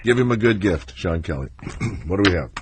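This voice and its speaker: A man's voice: low-pitched, animated, brisk, a little hiss or hum. A middle-aged man hosting a call-in radio show, joking loosely and rambling with restarts. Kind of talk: casual call-in radio banter. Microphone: studio microphone.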